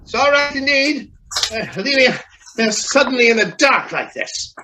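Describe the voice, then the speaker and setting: A man playing an irascible, scornful gentleman in a radio drama, sputtering and exclaiming as he blusters. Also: extremely high pitch, expressive, moderate pace, slight background noise, exaggerated voice, poor audio. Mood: indignant, exasperated. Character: irascible, blustering male visitor trapped in a coal mine. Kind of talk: stage-like radio drama acting.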